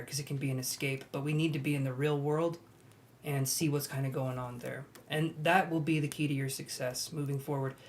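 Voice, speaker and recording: A young man reading tarot on a live stream, chatting and gently reassuring his viewers. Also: fairly high pitch, slight background noise. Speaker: tarot reader.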